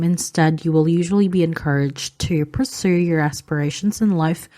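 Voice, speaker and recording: A woman from the Philippines whose first language is Filipino, calmly explaining cultural differences in a vlog with frequent "um" fillers. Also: deep voice. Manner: calm, informative, frequent "um" fillers. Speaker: vlogger.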